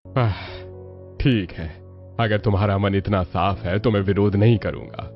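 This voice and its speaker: A skeptical middle-aged husband from a cartoon family tale, acted by a man. His voice is pitched down, measured and deep. The audio is dull with music beneath. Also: fairly even intonation, lightly acted. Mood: doubtful, resigned. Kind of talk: Hindi cartoon story dialogue.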